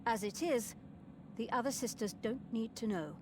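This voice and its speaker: A woman playing a grave, measured abbess, stern but forgiving, in scripted video game dialogue. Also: on the high side, noisy background, close to an everyday voice. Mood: grave, resigned. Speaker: game character.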